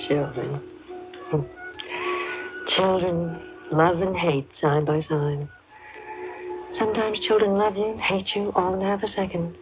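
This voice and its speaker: A woman playing an anxious, doting suburban mother in 1950s radio drama style, speaking hesitantly and trailing off. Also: low voice. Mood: brooding. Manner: hesitant, breaking off mid-sentence. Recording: muffled, noisy 1950s radio recording.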